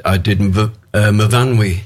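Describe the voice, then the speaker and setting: An older man with a deep voice, a singer chatting as a guest in a radio studio, telling stories with many fillers and restarts. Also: fairly even intonation, normal speed. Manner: storytelling, hesitant with fillers. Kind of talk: casual radio interview chat.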